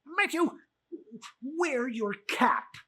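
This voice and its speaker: Storybook old miser, acted by a man, blustering and nervous, raspy with wide pitch swings. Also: animated, drawn-out words, sharp tone, exaggerated voice. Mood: flustered. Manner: stammering, exclamatory. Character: crotchety, frightened old miser, blustering then pleading.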